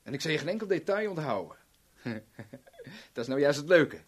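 Swooping, husky, cocky villain. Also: male voice, a little high, big pitch swings. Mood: gloating, taunting. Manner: taunting, theatrical, drawn-out phrasing. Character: cocky, sadistic male villain, insolent and gloating. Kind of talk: 1970s Dutch radio drama acting.

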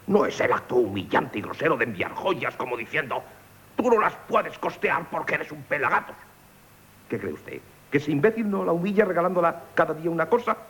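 Pompous marquis, wildly swooping voice. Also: male voice, on the high side, sing-song, a little fast, a bit bright, slightly rough, exaggerated voice. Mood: haughty, indignant. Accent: Castilian Spanish. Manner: pompous, blustering, stammering when cornered. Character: vain, penniless marquis, pompous and touchy, smitten suitor. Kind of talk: theatrical radio comedy acting.

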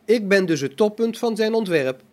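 A man's voice: high-pitched, expressive. Man acting a self-assured, solemn robot prophet. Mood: calm, self-assured. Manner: measured, solemn, reasoning. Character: self-assured male robot prophet, calm, devout and logical. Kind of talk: amateur science fiction radio play acting.

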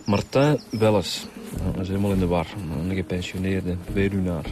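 Radio drama: methodical Flemish investigator, matter-of-fact, explanatory, lowish pitch. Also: male voice, fairly even intonation, normal speed, music in the background. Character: earnest, methodical male homicide detective.

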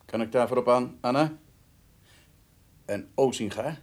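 A man's voice: medium pitch, a little slow, a little mellow, no room sound, lightly acted. An actor playing a chatty, blunt countryman with a folksy manner in a Dutch radio drama. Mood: frank, probing. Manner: folksy, talks to himself. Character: countryman called the fox, chatty, blunt, meddlesome. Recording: noisy radio drama recording.

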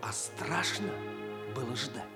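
A young prince woos with ardent, declamatory boldness in a radio drama. His voice sits fairly high and moves unhurriedly, with music clearly playing underneath. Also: animated, takes it slowly, bright, forceful tone, full character voice. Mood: tender. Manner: romantic, declamatory. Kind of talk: theatrical radio play acting.

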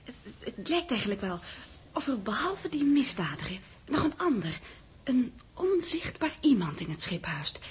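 A high, very breathy female voice as an earnest, wondering secretary in vintage radio drama. She is hesitant and anxious, and her pitch moves a great deal. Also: big pitch swings, normal speed, heavily acted. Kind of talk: 1960s Dutch radio play acting.

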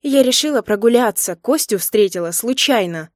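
A woman's voice: a little high, lively intonation, normal speed, dry recording, studio quality, pitched above the performer's own voice. Woman acting a defiant, hot-tempered young wife. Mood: indignant. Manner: snappy, emphatic, raised voice.

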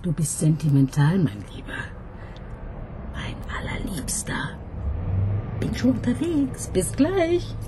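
An actress playing a wry, teasing woman police detective in a radio crime drama, sharp with her words. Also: fairly low pitch, huge pitch swings, slow pace, long pauses, heavily acted. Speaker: homicide detective. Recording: radio play with clear background noise.

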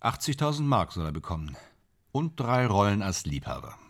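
A man's voice: on the low side, very animated, normal speed, vocal fry, heavily acted. A man playing a boastful, ambitious actor in a German radio drama, speaking in theatrical, self-dramatizing fragments. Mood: subdued, wistful. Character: boastful, ambitious male stage actor dreaming of Hollywood stardom.

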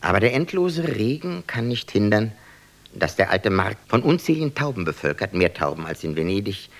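A man reading scripted literary narration for a German radio play. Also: low voice.

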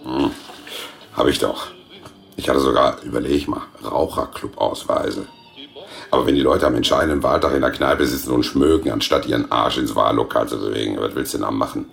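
A pub landlord in his sixties with an extremely deep voice full of gravel and creak. He talks in a folksy, laconic, colloquial way, as in a radio play. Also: steady tone, brisk, a bit bright, faint music behind, heavily acted. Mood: resigned, casual. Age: 60s. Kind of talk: radio play acting, casual pub talk.

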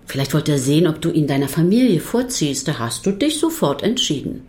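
Blunt, lowish-voiced skeptical woman. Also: big pitch swings, a little fast, a little mellow. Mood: probing, dismissive. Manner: blunt, probing, teasing. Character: skeptical, needling woman close to the writer. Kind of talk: radio drama dialogue.

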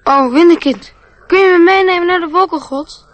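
A woman's voice: extremely high pitch, moderate pace, no room sound. A woman acting an innocent, wide-eyed young schoolboy, eager and full of wonder, in a radio play. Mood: eager, pleading.

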